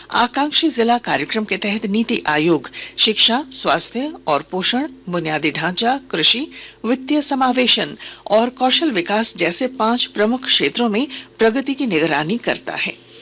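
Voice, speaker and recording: A woman's voice: medium pitch, creaky, fairly bright tone, breathy edge. Woman reading a formal radio news bulletin. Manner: formal, measured announcing. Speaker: news reader.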